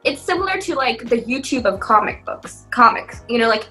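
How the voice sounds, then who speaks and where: A young woman with a bright, fairly high voice chats casually as a podcast co-host. She tells her stories in an animated, relatable way, with soft music underneath. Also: fairly quick. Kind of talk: casual chat among friends.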